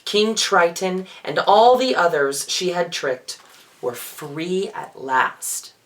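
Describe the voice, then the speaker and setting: A man performing as a drag queen, reading a children's storybook in a theatrical, expressive way with playful asides. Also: high-pitched, takes it slowly, poor audio.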